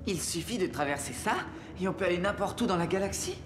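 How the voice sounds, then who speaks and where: An actor voicing an earnest, conflicted teenage boy hero in an animated series, intense and insistent. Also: mid-range voice, fast talker, noisy background, close to an everyday voice. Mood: hopeful. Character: earnest, conflicted teenage prince searching for father. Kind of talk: dubbed animated series acting. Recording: long reverb, faint music behind.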